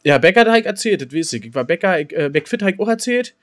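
Fast-talking man rambling through casual anecdotes. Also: high-pitched, very animated. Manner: rambling, colloquial storytelling. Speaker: podcast co-host.

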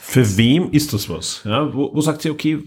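Austrian male podcast host, slightly rough husky voice, conversational moderating and reviewing. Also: on the high side, expressive, moderate pace, darkish tone. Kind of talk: casual moderating and review chat.

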